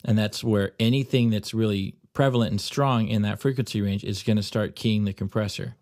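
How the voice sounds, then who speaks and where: Lowish-voiced man narrating a tutorial, dry sound. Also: fairly even intonation, a little fast, a little mellow, no room sound. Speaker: audio plug-in tutorial presenter. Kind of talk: instructional screencast narration.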